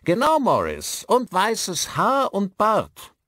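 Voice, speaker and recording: A man voicing a hearty, helpful village landlord with a loud, familiar manner. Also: medium pitch, huge pitch swings, slow, creaky, husky, no room sound, studio quality, pitched above the performer's own voice, exaggerated voice.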